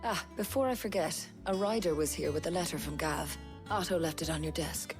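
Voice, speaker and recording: A brisk, caring healer from a fantasy game , played by an actress, talks in a dry, gently teasing way. Her voice sits slightly low, with music clearly behind it. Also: lightly acted. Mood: teasing, fond. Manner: dry, teasing, matter-of-fact. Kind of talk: cinematic fantasy game dialogue.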